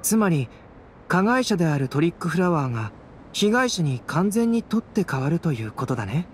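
Lightish-voiced calm young alchemist. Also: male voice, on the high side, a little hiss or hum. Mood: composed, detached. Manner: composed, measured, soft-spoken, enigmatic. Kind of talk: Japanese anime game voice acting, storytelling. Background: background music.

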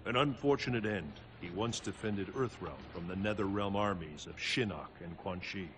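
A solemn guardian god from a video game speaks in a fairly low voice. He is stern, formal and measured, with faint ambience behind him. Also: fairly bright tone, a little hiss or hum. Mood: grave, regretful. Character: solemn, stern male thunder god, protective mentor. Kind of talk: video game cutscene acting.

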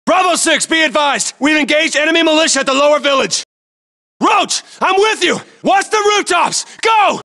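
A man playing a frantic, battle-hardened special forces soldier in a game, barking clipped, urgent callouts in a strained, gravelly shout. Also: extremely high pitch, fast talker, hardly any pauses, heavily acted. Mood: urgent, commanding.

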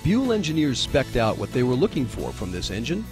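Lively male documentary narrator over music. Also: medium pitch, brisk. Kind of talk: scripted narration. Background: music bed.

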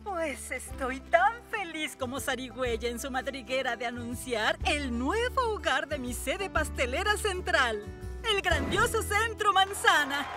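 A woman's voice: high-pitched, animated, unhurried. A woman voicing a genial, folksy older lady baker in a children's cartoon dub, breezy and theatrical, with a Latin American Spanish accent. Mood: gracious pride. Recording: music playing behind the voice.

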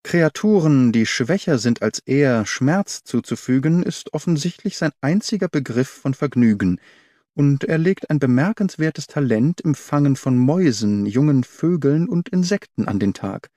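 A man voicing an earnest young governess in an audiobook, telling her account in a measured, articulate way. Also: medium pitch, animated, mellow, dry recording, studio quality, natural voice. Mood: earnest, uneasy. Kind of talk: scripted audiobook character reading.

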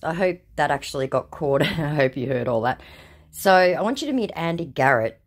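Woman host, wry and amused, lively intonation. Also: low-pitched, slightly rough. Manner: amused, sarcastic. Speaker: video host. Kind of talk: casual recap to viewers.

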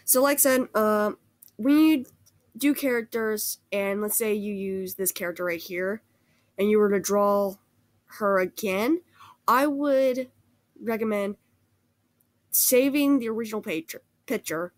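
A woman's voice: medium pitch, a little slow, dry recording. A woman who is sick with a cold gives a casual, rambling art critique over a screen recording, hesitating and restarting often. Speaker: art critique video host.

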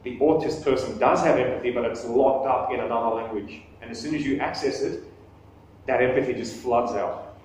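A man's voice: medium pitch, fairly quick, darkish tone. A man in his thirties speaks to a small audience, joking at his own expense and restarting sentences as he goes. He is recorded by a camera across a small meeting room, so his voice sounds distant and very echoey, with faint background noise. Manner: conversational, self-deprecating humor, frequent restarts. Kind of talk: live talk with questions. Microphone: far camera on table.

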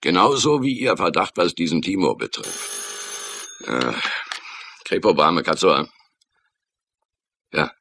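An actor playing a gruff, cynical hardline police detective in a radio crime drama, with a curt, sardonic manner. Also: male voice, sits low, expressive, slow pace, pauses often, bright, forceful tone, exaggerated voice. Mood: curt, dismissive.

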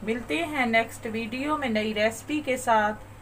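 A woman hosting a cooking channel, calmly talking through a recipe step by step in a voice-over. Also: a little high, moderate pace, slight background noise. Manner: calm, methodical instructions. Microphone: voice-over over overhead shots of a bowl.

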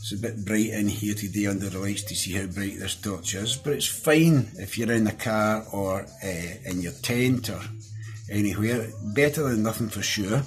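A man's voice: fairly low pitch, moderate pace. A middle-aged Scottish man giving a casual, chatty, down-to-earth hands-on product review. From Scotland. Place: small indoor room at a desk.

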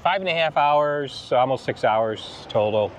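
A man's voice: medium pitch, expressive, measured pace, slight background noise. A middle-aged American man casually recounting a trip as a travel vlog host, speaking outdoors in the open air.

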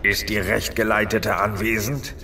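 Man as a gravelly, devout underground trapper creature. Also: fairly low pitch, flat delivery, unhurried, pushed and bright, a little hiss or hum. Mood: solemn, courteous. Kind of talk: fantasy audio drama acting.

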